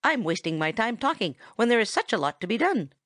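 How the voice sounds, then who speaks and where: A woman's voice: medium pitch, very animated, a little fast, a bit bright, no echo, studio quality, voice pushed up. A woman voicing a cheery, boastful little male chickadee bird in a storybook reading, bubbly and merry. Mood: breezy, busy.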